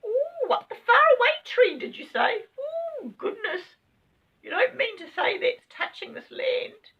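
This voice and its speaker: A woman voicing a chatty, excitable small rabbit in a children's storybook, full of wide-eyed exclamations. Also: very high voice, a little slow, distant mic, muffled recording, voice pushed up, exaggerated voice. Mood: astonished.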